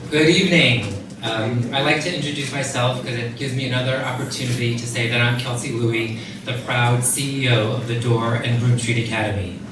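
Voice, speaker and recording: A man from New York gives an informal welcome speech as the head of an organization. He sounds distant in a very reverberant studio, over clear background noise. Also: medium pitch, steady tone, brisk, few pauses. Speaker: nonprofit chief executive, welcome speaker. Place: indoor dance studio, before an audience. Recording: echoey dance studio, distant, noisy.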